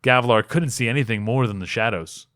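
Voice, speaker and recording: A man reading a fantasy story aloud as dramatic audiobook narration, expressive and with deliberate pauses. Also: medium pitch, clean tone, dry recording, studio quality. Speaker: amateur reader, a fan.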